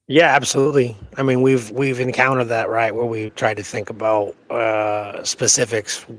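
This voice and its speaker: A man's voice: mid-range voice, little pitch movement, moderate pace, a bit bright, dry recording. A man with a mid-range voice chats as co-host of a history show. His delivery is informal and conversational, often seeking agreement as he thinks through a point. The recording is dry, without room sound. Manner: checks in with "right?" often. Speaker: podcast co-host. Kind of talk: casual conversational history chat.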